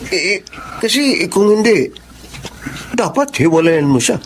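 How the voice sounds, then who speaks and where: A Filipino man acting an excitable, meddling friend in a radio drama, agitated and insistent. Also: high voice, unhurried, slight background noise. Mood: agitated, urging. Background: music.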